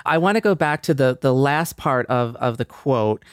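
Smooth, clear-voiced male podcast host. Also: medium pitch, expressive, moderate pace, dry recording, clean studio sound. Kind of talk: reflective casual discussion.

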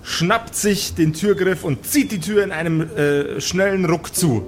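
A man improvising narration as game master of a tabletop role-playing game, halting now and then to search for words. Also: sits high, very noisy.

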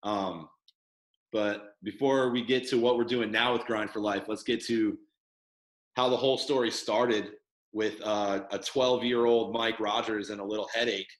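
A man's voice: lowish voice, fairly even intonation, a little slow, roomy sound, low-quality recording. A man hosting a casual podcast, talking loosely with lots of fillers and restarts. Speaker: podcast host. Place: studio headquarters room.

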